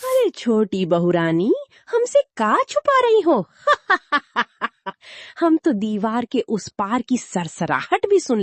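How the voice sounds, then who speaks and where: A woman's voice: fairly high pitch, dry recording, heavily acted. Woman playing a swooping, nosy gossip maid. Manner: drawn-out, dramatic, teasing. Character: nosy gossip maid bringing breaking neighbourhood news. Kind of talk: cartoon story acting.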